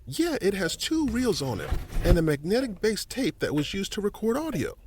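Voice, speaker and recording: Helpful small-town history volunteer, audio drama; chatty, explanatory, very lively pitch, some background noise. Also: male voice, normal speed. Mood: intrigued, explanatory. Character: helpful, folksy middle-aged male historical society volunteer and newspaperman.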